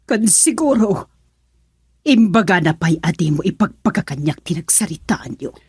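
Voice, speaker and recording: Husky, dramatic, bitter Filipino mother. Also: fairly low pitch, lively intonation, moderate pace, no room sound, clean studio sound. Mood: bitter, agitated. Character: suspicious, wounded mother and wife, bitter and dramatic. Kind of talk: Filipino radio drama acting.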